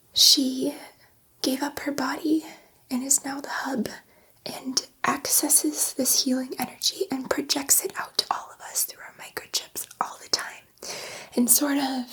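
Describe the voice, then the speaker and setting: Woman acting as a female alien scientist, soft breathy whisper, high pitch, gentle and coaxing, halting delivery, ASMR sci-fi roleplay. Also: rough recording. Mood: patient, reassuring. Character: gentle, excitable female alien scientist soothing a human subject.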